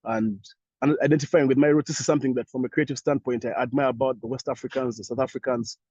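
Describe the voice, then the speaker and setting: A young Ugandan man speaking English with a Ugandan accent, answering thoughtfully as a panelist in an online discussion, with many pauses and restarts. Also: mid-range voice, fairly even intonation, a little mellow, slightly rough, no room sound, lo-fi sound.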